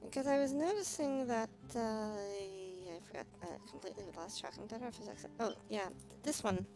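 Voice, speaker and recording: A woman livestreaming a game, chatting casually and thinking aloud in a halting, musing way. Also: medium pitch, poor audio. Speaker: livestreamer playing a video game. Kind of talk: casual gameplay stream chat. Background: music.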